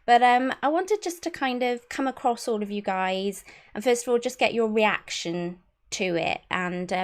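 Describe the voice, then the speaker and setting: Chatty woman hosting a panel. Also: mid-range voice. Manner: upbeat, chatty, introduces guests. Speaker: host. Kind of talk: hosting a casual panel show.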